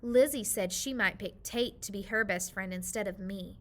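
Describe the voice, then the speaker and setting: A woman's voice: medium pitch, moderate pace, close to an everyday voice. A woman voicing a sulky, complaining little girl in a children's storybook, with whiny, indignant dialogue.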